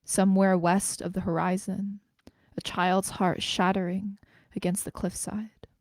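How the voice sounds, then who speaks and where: A woman's voice: mid-range voice, flat delivery, unhurried, darkish tone, dry recording, clean studio sound. A woman, a spoken word poet performing and introducing her own work. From Canada, Greater Toronto Area.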